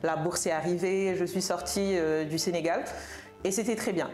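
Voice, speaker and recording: A woman speaks in a slightly low register, recounting her life in a conversational way. She repeats words for emphasis. The room around her is noticeably echoey. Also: normal speed, a little mellow, faint music behind. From Senegal. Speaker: podcast guest, tech professional. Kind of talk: conversational personal storytelling. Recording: roomy, reverberant room sound.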